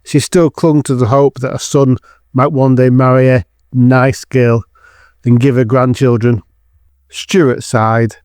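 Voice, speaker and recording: A man's voice: medium pitch, little pitch movement, takes it slowly, a little mellow, no echo, studio quality. A man narrating a scripted dramatic story as the narrator of an audio drama.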